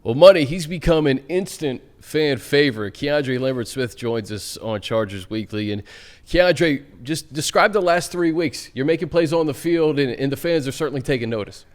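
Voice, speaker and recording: A man's voice: medium pitch, animated, moderate pace. Sports podcast host guy, mid voice, easygoing, lots of uhs. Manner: easygoing, filler-heavy.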